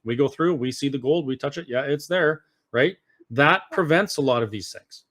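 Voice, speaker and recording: A man's voice: mid-range voice, moderate pace, fairly bright tone. A man speaking as an interview guest, explaining his views casually and earnestly at some length. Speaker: interview guest, political activist.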